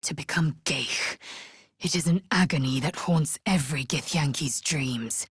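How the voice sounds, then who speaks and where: A woman's voice: deep voice, a little slow, breathy edge, dry recording, clean studio sound, exaggerated voice. A woman voicing a fierce, haughty alien warrior in a fantasy video game, with an imperious, clipped, solemn delivery. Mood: grave, observant.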